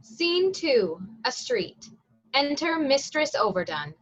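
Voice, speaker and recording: A woman's voice: high-pitched, big pitch swings, slow pace, highs cut off. A woman reading scripted stage directions aloud at a staged play reading.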